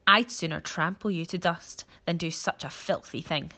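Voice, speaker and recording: A woman voicing a huge, haughty elephant who threatens in a loud, raised voice. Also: lowish voice, moderate pace.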